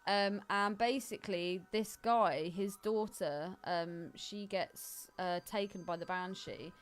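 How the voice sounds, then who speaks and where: A woman's voice: mid-range voice, slow pace. A woman co-hosting a podcast in casual, off-the-cuff film chat. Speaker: podcast co-host.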